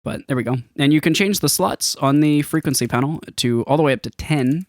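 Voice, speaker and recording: A man's voice: a little high, a little fast, no room sound. A man narrating a game demo in an informal tutorial style, rambling a little as he thinks aloud. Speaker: game developer demonstrating own game. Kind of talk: casual screen-recorded software demo and tutorial commentary.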